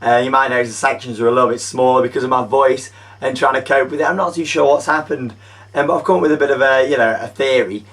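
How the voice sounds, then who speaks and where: A young man hosting a chatty, upbeat theme park news show, his voice gone a little croaky, talking enthusiastically with frequent fillers. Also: medium pitch, fairly even intonation, fast, a bit bright, far from the mic. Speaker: host.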